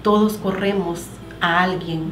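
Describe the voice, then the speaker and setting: A woman's voice: lowish voice, fairly even intonation, a little slow, far from the mic, over music. A middle-aged woman from El Salvador with a Salvadoran accent, sharing her personal testimony as a guest and quoting scripture earnestly. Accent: Salvadoran Spanish. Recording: echoey room with background noise.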